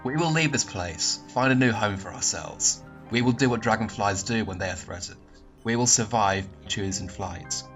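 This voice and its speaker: A man voicing a solemn dragonfly father, speaking gravely and in a measured way over faint music. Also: lowish voice, fairly bright tone.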